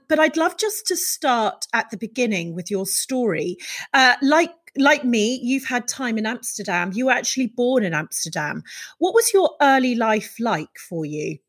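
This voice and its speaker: A woman's voice: fairly high pitch, a bit bright. A woman hosting a conversational podcast. She asks her guest encouraging, friendly questions with casual fillers. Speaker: podcast host. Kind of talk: interviewing a guest.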